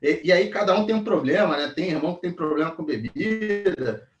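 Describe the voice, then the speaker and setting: High-voiced Brazilian man, roomy sound. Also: animated, brisk. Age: 40s. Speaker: co-host of a comics review channel. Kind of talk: casual review chat. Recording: roomy echo, distant mic.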